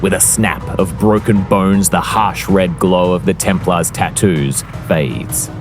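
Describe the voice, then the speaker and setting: A man with a low voice narrates a tabletop fantasy game as game master. His storytelling is dramatic and descriptive, and music plays clearly behind him. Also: slow pace. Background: background music.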